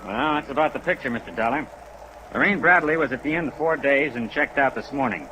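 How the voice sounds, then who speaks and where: A man's voice: mid-range voice, natural voice. Plainspoken deputy played by a man, noisy old recording. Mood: matter-of-fact. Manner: plainspoken, unhurried. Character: matter-of-fact male sheriff's deputy. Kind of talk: 1950s radio drama acting.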